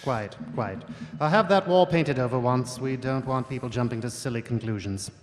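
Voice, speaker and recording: Male actor as coolly authoritative patrician, mid-pitched, measured irony, stage radio play with hall reverb. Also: close to an everyday voice. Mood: dry, skeptical. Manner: dry, measured, commanding. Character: coolly sardonic, authoritative ruling lord of the city. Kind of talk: live audio theatre acting.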